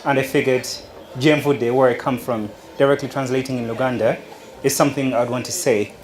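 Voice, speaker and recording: A young man from Uganda tells his own story in an unhurried way, often pausing on fillers and restarting his sentences. His voice sits in the middle range. The sound carries the echo of a large room. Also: mid-range voice, fairly even intonation, a little hiss or hum. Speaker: speaker giving a talk. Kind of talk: personal story talk. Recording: echoey room.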